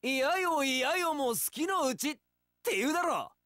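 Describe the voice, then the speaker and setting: Flamboyant young wandering swordsman, playful, swaggering, bright forceful tone, lively swooping delivery, video game. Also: extremely high pitch, unhurried, no room sound, clean studio sound, full character voice. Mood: bold bravado. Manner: theatrical, breezy, casual swaggering speech. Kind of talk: Japanese action game voice acting.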